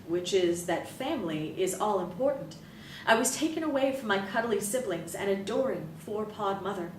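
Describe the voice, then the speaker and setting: A woman gives a measured, deliberate reading of a first-person story. Her voice sits at an ordinary middle pitch and carries a noticeable room echo. It is caught from some distance off the mic, with a faint low rumble underneath. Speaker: reader. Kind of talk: reading a novel excerpt aloud.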